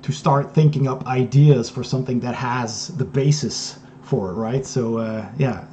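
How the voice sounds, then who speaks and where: Mellow-voiced male interview host. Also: medium pitch, unhurried, a little hiss or hum. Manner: relaxed, with short backchannel agreements. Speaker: podcast host. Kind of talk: casual interview conversation.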